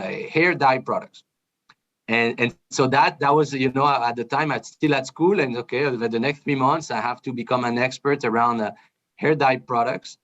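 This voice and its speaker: A man with a French accent tells his story as an interview guest, pausing often on "uh." His voice sits mid-pitch and comes through a muffled, slightly dull video-call connection. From France. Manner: hesitant, frequent "uh" fillers. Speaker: guest, brand manager.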